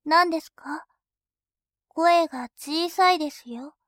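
A woman voicing a composed female bank clerk who speaks in very formal, deliberate language and turns dominating and scornful. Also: very high voice, fairly bright tone, dry recording, clean studio sound, exaggerated voice. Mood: polite teasing. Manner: very formal polite speech, slow and deliberate. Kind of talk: adult audio drama acting.

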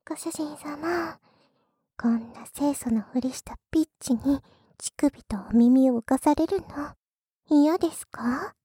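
A woman playing a coy, secretly lewd maid in an intimate adult ASMR roleplay, teasing her master in a breathy voice that often drops to a whisper. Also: high voice, measured pace, warm tone, no room sound, clean studio sound. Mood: mock apologetic, sultry.

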